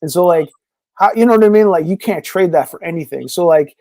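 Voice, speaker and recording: A man talks casually as a podcast guest, rambling on with plenty of fillers. His voice is mellow and warm, a touch higher than most. The sound is dry and close. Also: fairly quick, dry recording. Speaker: podcast guest, comic creator. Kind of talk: casual interview chat.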